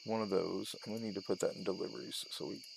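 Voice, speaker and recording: Guy doing chill gaming commentary, creaky voice, thinks out loud, really noisy with clicks behind him. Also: low-pitched, fairly even intonation, fast talker. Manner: narrates own steps aloud in short, trailing phrases. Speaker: gaming video host. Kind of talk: casual let's-play commentary.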